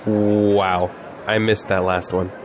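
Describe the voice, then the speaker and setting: An actor playing a confident, sarcastic young college graduate in an audio drama, with wry, joking delivery. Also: male voice, fairly low pitch, flat delivery, slow. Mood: upbeat, sardonic. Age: young adult. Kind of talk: scripted audio drama dialogue. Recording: faint background noise, outdoor crowd ambience.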